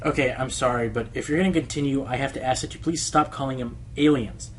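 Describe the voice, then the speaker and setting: A man's voice: mid-range voice, fast, a little hiss or hum, close to an everyday voice. A man playing a defensive, earnest pony radio host being interviewed in a radio play, stammering and hesitant. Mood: exasperated. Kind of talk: conversational radio play dialogue. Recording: music under the voice.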